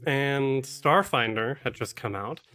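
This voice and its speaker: A man with a medium-pitched voice talks as a guest on a podcast. His delivery is casual and conversational. He phrases things reflectively, hesitating and repeating words as he goes. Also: slow pace, pushed and bright. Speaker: podcast guest, actual play streamer and producer.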